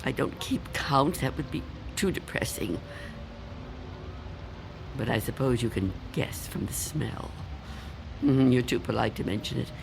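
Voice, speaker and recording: A woman's voice: extremely low pitch, unhurried, gravelly, pauses often, background noise, full character voice. An actress playing a frightened, reclusive old woman afraid of death in a radio drama, tremulous and pleading. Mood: fearful.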